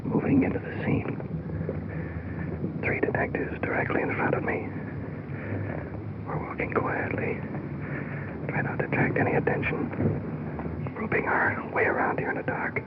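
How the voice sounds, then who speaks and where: A man's voice: normal speed, long pauses. A man reporting live from the scene of a police raid at night, whispering his commentary outdoors beside a house. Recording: tinny lo-fi 1950s field recording with loud hiss.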